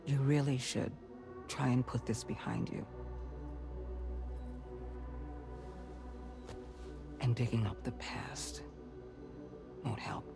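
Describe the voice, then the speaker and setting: A calm, reassuring game doctor, played by an actor, with a gravelly mid-pitched voice. The delivery is measured and gentle as things are explained, with music playing behind. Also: natural voice. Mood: cautioning. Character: calm reassuring doctor examining a pregnant woman and a pod. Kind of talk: naturalistic game cutscene acting.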